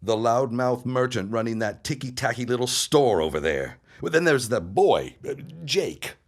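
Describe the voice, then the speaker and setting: A man's voice: medium pitch, animated, moderate pace, natural voice. An actor playing an arrogant, scheming 1910s businessman with haughty, formal diction in an audio drama. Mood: sneering, scornful. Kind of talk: period audio drama acting.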